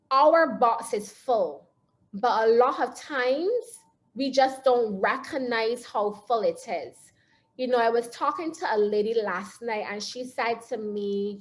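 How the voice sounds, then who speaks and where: A woman with a Barbadian accent giving an earnest, animated motivational talk over a video call. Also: a little high. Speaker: guest speaker. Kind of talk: webinar talk.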